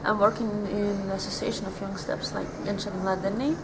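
A woman's voice: medium pitch, level tone, fast. Young Lithuanian-born woman, outdoor noise. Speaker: volunteer. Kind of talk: casual interview answers. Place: outdoors in a garden.

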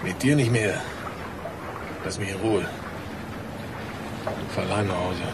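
An actor playing an embittered, accusing male worker in a radio crime drama. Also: slow. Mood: bitter. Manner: grim, accusatory. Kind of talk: naturalistic 1980s German radio crime drama acting. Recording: noisy ambience, engine-like rumble.

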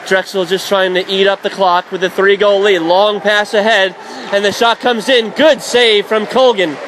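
A young man calls hockey play-by-play for college radio from a press box. His voice sits high and carries over loud arena noise. He reels off passes and shots quickly and lifts into shouts when goals go in. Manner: rapid excited calls rising to shouts on goals. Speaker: play-by-play announcer.

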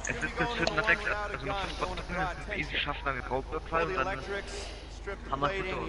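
Young male gamer, halting and repetitive. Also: on the high side, very noisy. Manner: halting, repeats himself. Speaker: gamer playing with friends. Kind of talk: casual gaming chat. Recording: game music and gunfire behind voice.

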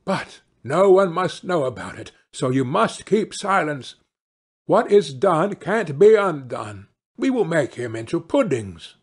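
A man's voice: fairly high pitch, sing-song, unhurried, husky, full character voice. Man playing a scheming, lifted-voice storybook mother. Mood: cold, secretive. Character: scheming, cold-hearted storybook mother, evasive.

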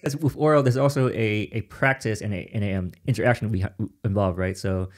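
Fast-talking male podcast host. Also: lowish voice. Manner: restarts and rephrases questions. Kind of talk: conversational interview.

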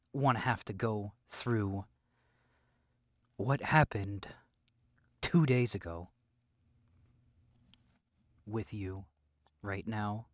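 Slow, menacing young male captor, acted by a man. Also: on the low side, fairly bright tone, dry recording, highs cut off, full character voice. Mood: stern, controlling. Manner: slow, deliberate, often dropping into breathy whispers. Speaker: audio roleplay performer. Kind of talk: ASMR-style audio roleplay drama.

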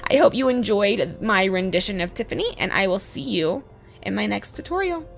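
A woman in her twenties with a slightly hoarse voice, giving a casual, chatty makeup tutorial voice-over. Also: medium pitch, expressive, normal speed. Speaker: beauty vlogger, host. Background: background music.

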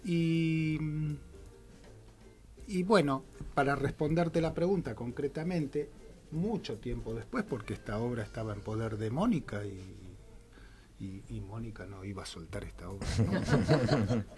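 A man's voice: medium pitch, animated, measured pace, darkish tone. Older male director guest, Argentine accent, hesitant and thoughtful, studio mic, faint music. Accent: Argentine Spanish. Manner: thoughtful, many "este" fillers. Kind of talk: casual radio interview. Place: small radio studio. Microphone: studio mic with headphones.

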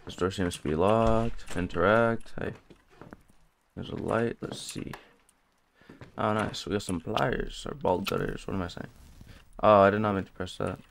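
Jumpy guy playing a horror game, low gravelly voice, lil bit of hiss behind him. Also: steady tone, unhurried, pauses often. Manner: jumpy, nervous, talks to himself. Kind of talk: casual let's play commentary.

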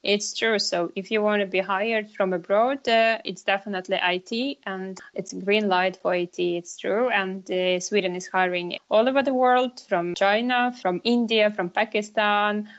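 A woman with a Ukrainian accent speaks as a guest in a conversational interview. Her mid-pitched voice has noticeable vocal fry, and she repeats words for emphasis. The audio is a little dull. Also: fairly even intonation, moderate pace, hardly any pauses.

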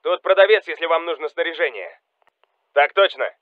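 An actor playing a Russian special forces soldier calling out commanding military game lines over a radio. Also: male voice, a little high, lively intonation, normal speed, dry recording, narrow, tinny audio. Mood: calm, businesslike. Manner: urgent, commanding.

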